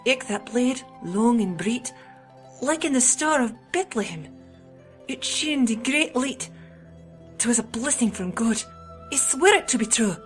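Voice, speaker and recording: An earnest boy squire of thirteen, played by a woman, declaims in archaic English for a medieval storybook. The voice sits fairly high and has faint music beneath it. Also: expressive, unhurried. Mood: awed, earnest. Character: noble, earnest thirteen-year-old squire boy, Arthur. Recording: music under the voice.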